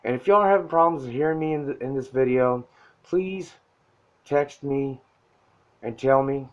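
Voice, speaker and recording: A soft-spoken man talks straight at the listener, blunt and profane, pushing people to reach out for help. His voice sits at an ordinary middle pitch. The sound is dull and lo-fi, like a phone camera mic in a room. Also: normal speed. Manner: blunt, profane, pushy pep talk. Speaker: self-help vlogger. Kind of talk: casual motivational talk to camera. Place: indoor room at a whiteboard.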